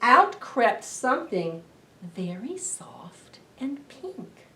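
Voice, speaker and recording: A middle-aged woman hosting a children's storytime, reading aloud in an expressive, deliberate way to young listeners. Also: fairly high pitch. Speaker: library storytime host. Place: indoor library children's room. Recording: roomy echo, distant camera microphone.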